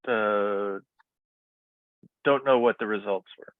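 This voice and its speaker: A man's voice: on the low side, takes it slowly, no echo. A man in an informal technical teleconference, speaking hesitantly and questioningly as a researcher. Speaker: researcher in a group technical call. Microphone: teleconference connection. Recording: narrow tinny phone-like line, dry.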